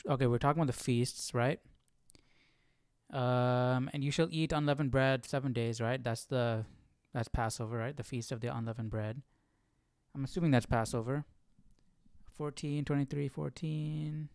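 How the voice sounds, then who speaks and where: Guy doing casual bible study commentary, smooth clear voice, close dry sound, questions stuff and repeats himself. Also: medium pitch, fairly even intonation, normal speed, darkish tone, no room sound. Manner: questioning, repeats phrases, checks in with "right?". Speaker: host of a live Bible study stream.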